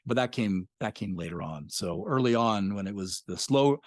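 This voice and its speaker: A man's voice: fairly low pitch, animated, moderate pace, no echo, clean studio sound. A middle-aged man, a podcast creator, talking casually as a guest, reflective and full of fillers. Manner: reflective, rambling with many fillers. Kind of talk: casual interview conversation.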